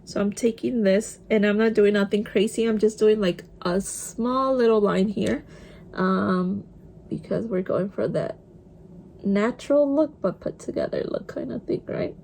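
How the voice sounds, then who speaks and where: A woman's voice: mid-range voice, measured pace, gravelly, a little mellow, slight background noise, rough recording. Chatty woman with a Spanish accent. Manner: chatty, mixes in Spanish words; talks while doing her makeup. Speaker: vlogger. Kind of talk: casual get-ready-with-me chat.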